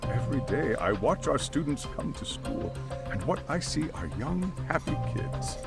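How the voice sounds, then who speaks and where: A man's voice: on the high side, big pitch swings, normal speed, vocal fry. An actor playing a grave, courteous older school chairman in a video game drama, speaking formally and with hesitation. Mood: regretful, grave. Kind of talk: video game cutscene acting. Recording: background music under the dialogue.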